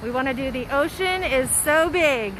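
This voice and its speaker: Higher-voiced woman vlogging over noisy ambience. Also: lively intonation, normal speed. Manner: teacherly, prompts and repeats phrases. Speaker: mother filming a family language-learning vlog. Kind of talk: casual family vlog, coaching phrases. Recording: noisy outdoor and car ambience.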